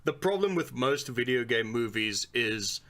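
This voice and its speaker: A man's voice: mid-range voice, animated, unhurried, a bit bright. A man co-hosting a casual podcast, talking bluntly with dry jokes. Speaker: podcast co-host.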